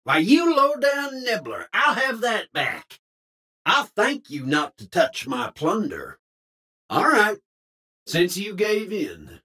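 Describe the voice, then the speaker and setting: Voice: medium pitch, huge pitch swings, slow, sharp tone, breathy edge, heavily acted. A drawling old-West cowboy. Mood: indignant. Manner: exaggerated frontier drawl with old Western slang. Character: folksy cowboy NPC, feisty and colloquial. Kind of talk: video game character voice lines.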